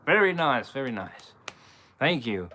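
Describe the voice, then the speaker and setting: A man's voice: mid-range voice, sing-song, unhurried, sharp tone, slight background noise. Man doing a pirate drawl on a live stream, pirate english accent, voice swoops all over. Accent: put-on pirate English. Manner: theatrical pirate drawl, playful. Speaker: impressionist streamer. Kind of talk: live stream shout-outs.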